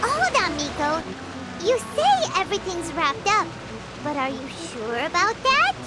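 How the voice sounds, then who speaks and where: A woman voicing a small, childlike, excitable girl companion in a video game, squeaky and blunt. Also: a little slow, exaggerated voice, rough recording. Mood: questioning. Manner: exclamatory, blunt. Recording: music behind the voice.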